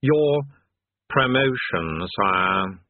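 Man as an oily old demon courtier, slow, tinny. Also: fairly low pitch, lively intonation, no room sound. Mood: smooth flattery. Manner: drawn-out, oily, expansive, sneering. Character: old demon courtier, slyly flattering, grandly obsequious. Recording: narrow tinny phone-like sound.

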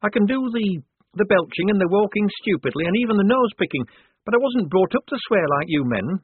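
Man voicing a flustered, high-pitched young woman. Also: animated, a little fast, husky, no room sound. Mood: flustered, embarrassed. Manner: mumbling, flustered, indignant. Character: timid, defiant young woman disguised as soldier. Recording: narrow phone-like sound.

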